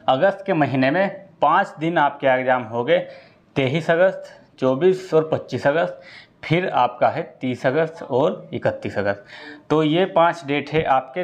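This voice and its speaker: Male coaching teacher, dull lo-fi recording. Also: medium pitch. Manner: explanatory, repetitive, often checks with "okay". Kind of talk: informal exam-prep lesson to students. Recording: dull lo-fi sound.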